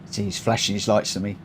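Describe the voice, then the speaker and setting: A man's voice: on the low side, background noise. Man with a cold, rambling game commentary. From United Kingdom. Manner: rambling, thinks aloud, corrects himself often. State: has a cold, runny nose. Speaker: streamer. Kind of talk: live racing game commentary.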